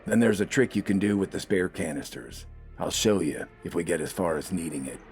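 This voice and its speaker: A man's voice: sits low, animated, fairly quick, a little mellow, faint music behind. A man voicing a grim, unflappable army corporal in an audio story, curt and commanding.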